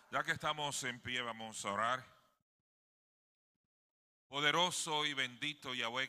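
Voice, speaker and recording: Bright, forceful Puerto Rican man preaching. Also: mid-range voice. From Puerto Rico. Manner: emphatic, often seeks agreement with tag questions. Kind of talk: sermon delivered to a congregation. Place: indoors in a sanctuary. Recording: long hall reverb.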